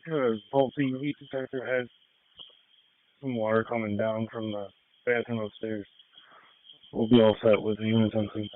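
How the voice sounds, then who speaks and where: A man's voice: on the low side, level tone, moderate pace, pauses often. Man on tinny emergency radio. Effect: two-way radio. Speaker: emergency services radio operator. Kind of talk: terse procedural radio traffic. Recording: tinny scanner radio with faint noise.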